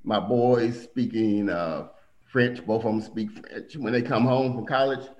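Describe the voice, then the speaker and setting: A middle-aged man telling family stories in a casual conversation, animated and acting out quotes. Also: a little slow, lo-fi sound. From Milwaukee. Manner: animated storyteller, acts out quotes with big pitch jumps.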